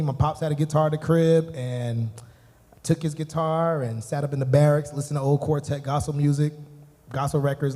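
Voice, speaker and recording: A man's voice: mid-range voice, warm tone. A Southern American man talks in a long, hall-like reverb. He is an easygoing, jovial interview guest who speaks casually. His answers ramble, circling back over the same phrases. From Columbia, South Carolina, US.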